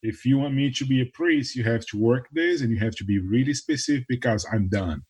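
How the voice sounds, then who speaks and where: Man, priest from Brazil, mid pitch, self-deprecating, quick bursts of speech. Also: a little mellow, husky. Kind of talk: casual conversation.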